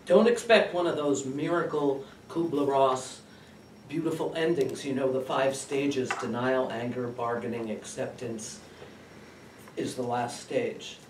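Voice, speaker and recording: A man's voice: medium pitch, a little slow, natural voice. A man playing a bitter, twice-divorced woman in a comic stage monologue, with a dry, complaining manner. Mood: wry, resigned. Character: aggrieved twice-divorced woman named Pat, bitter about her mother. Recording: distant, roomy, noisy live recording.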